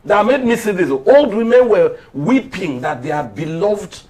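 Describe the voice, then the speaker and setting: A man's voice: high voice, lively intonation, unhurried, darkish tone. A man with a Nigerian accent speaks on a political discussion panel. He tells his points like stories, emphatically, stopping and repeating phrases to drive them home. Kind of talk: political discussion, storytelling commentary.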